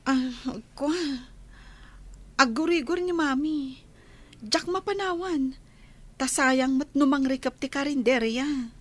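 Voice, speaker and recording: A woman acting a dutiful, gentle and reluctant young daughter in a radio drama. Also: high-pitched, slow pace, pauses often. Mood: reluctant, wistful.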